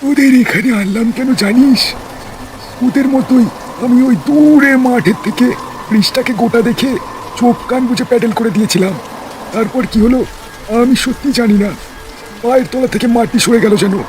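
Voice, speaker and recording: An eerie young male ghost from a horror cartoon, speaks in a high, wailing voice with drawn-out, beckoning calls. Heavy rain and storm noise surround the voice. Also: darkish tone, rough recording. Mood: mournful, eerie. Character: ghost of a young village man, eerie, beckoning his friends. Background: rain and storm sound effects.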